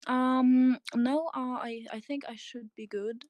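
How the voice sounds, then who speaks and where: A woman's voice: sits high, steady tone, slow, a little mellow, no echo. Nervous German-accented woman, dry sound. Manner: hesitant, many ums, laughs nervously. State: tired and a bit nervous. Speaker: interview guest. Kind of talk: casual interview over voice chat.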